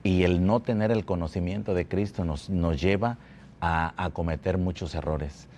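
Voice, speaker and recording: A man from Mexico telling his life testimony at a church, speaking slowly and haltingly, often repeating words. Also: deep voice, fairly even intonation, darkish tone, a little hiss or hum. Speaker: guest giving testimony. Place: church building.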